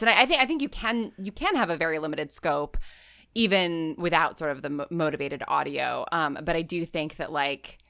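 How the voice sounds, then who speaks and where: Bright-voiced woman in casual conversation, fairly low. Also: creaky, dry recording, clean studio sound. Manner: animated, halting, restarts phrases often. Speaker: guest, audio fiction writer and creator.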